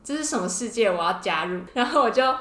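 A woman's voice: fairly high pitch, lively intonation, fast talker, fairly bright tone. Gushing Taiwanese woman in a roomy space. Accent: Taiwanese Mandarin. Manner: gushing fangirl enthusiasm. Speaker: podcast co-host. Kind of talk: casual enthusiastic chat. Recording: roomy indoor echo.

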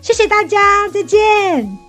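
A woman's voice: extremely high pitch, big pitch swings, slow, a bit bright, soft background music. A woman with a Taiwanese Mandarin accent co-hosting a podcast in casual, teasing banter with jokey swearing. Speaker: podcast co-host.

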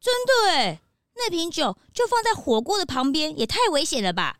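Woman voicing a curious, high-pitched little sidekick. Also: huge pitch swings, normal speed, fairly bright tone, dry recording, studio quality, full character voice. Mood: surprised. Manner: inquisitive, wide-eyed exclamations. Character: curious, excitable young male detective's sidekick. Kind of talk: children's radio drama acting.

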